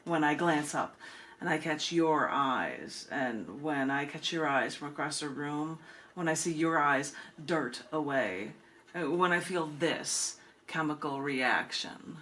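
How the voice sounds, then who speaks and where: A woman reading spoken-word poetry in measured, deliberate phrases with dramatic pauses. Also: low-pitched, lively intonation, fairly bright tone, a little hiss or hum. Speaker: poet reading own work, host of the reading.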